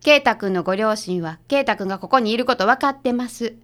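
A woman's voice: fairly high pitch, fast, a bit bright, natural voice. A woman with a Kansai accent playing a spirited, protective detective's assistant in a radio drama. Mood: defensive.

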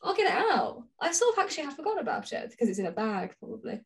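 Female co-host, higher-pitched, informal and playfully dry in conversation. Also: very animated, very fast, gravelly. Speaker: podcast co-host. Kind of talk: casual chat between friends.

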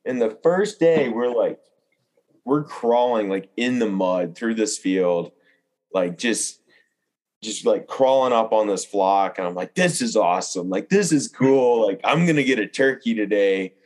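A young adult man chatting casually as a podcast guest, telling hunting anecdotes in an animated way, peppered with "like". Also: on the low side, measured pace. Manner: animated storyteller, frequent "like".

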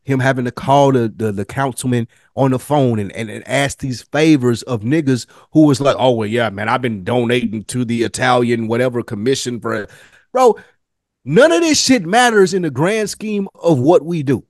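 A man's voice: mid-range voice, normal speed, no room sound, clean studio sound. A man co-hosting a review podcast, making points in emphatic, slangy casual talk and repeating phrases for stress. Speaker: podcast co-host reviewing a TV drama. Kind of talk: casual chat.

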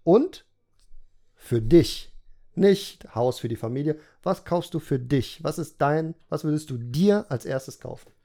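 A man's voice: a little high, animated, unhurried, dark tone. A man in his thirties hosting a casual podcast interview, asking questions and explaining things at length in a chatty way. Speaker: podcast host.

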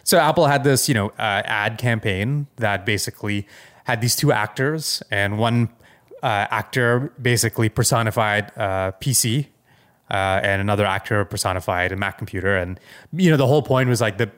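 A man's voice: lowish voice, moderate pace, a bit bright. A man co-hosting a podcast in a casual, rambling tech chat, informal and full of loose asides. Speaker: podcast co-host.

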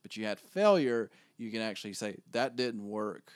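Man, low-toned voice, measured, reflective, dry close sound. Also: expressive, no room sound. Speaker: podcast co-host, wedding photographer. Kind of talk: casual podcast chat.

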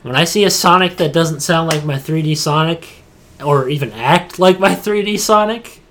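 A man's voice: on the high side, normal speed, a bit bright, slight background noise. A man co-hosting a casual gaming chat, talking like an opinionated, informal fan who peppers his speech with "dude". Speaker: podcast co-host. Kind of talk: casual chat about video games.

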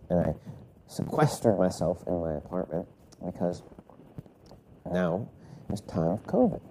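A man's voice: deep voice, dark tone, slight background noise, low-quality recording. A man recovering from a cold, congested and coughing, rambling casually through his solo podcast with many fillers and self-corrections. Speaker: podcast host.

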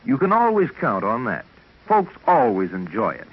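A man's voice: mid-range voice, wildly swooping pitch, moderate pace, husky. A man playing an enthusiastic American sponsor announcer, giving an emphatic old-time radio candy advertisement. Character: eager, enthusiastic candy pitchman. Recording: muffled old radio recording.

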